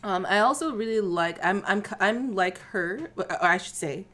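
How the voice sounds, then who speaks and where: A woman's voice: medium pitch, normal speed, fairly bright tone. A young woman co-hosting a casual podcast, talking with many fillers and restarts. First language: English. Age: young adult. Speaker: podcast co-host.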